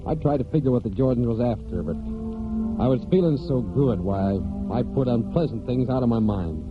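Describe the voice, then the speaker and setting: A man's voice: mid-range voice, animated, fairly quick, loud music behind the voice, natural voice. An actor playing a plain-spoken, proud farmhand with folksy rural speech, telling his story in a 1940s radio drama. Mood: reflective. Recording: dull old 1940s radio broadcast.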